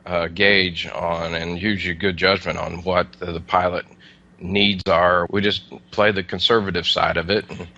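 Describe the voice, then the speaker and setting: A man giving casual, plain-spoken explanations as an interview guest, with somewhat halting delivery. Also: low voice, flat delivery, bright, forceful tone, lo-fi sound. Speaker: air traffic controller, interview guest.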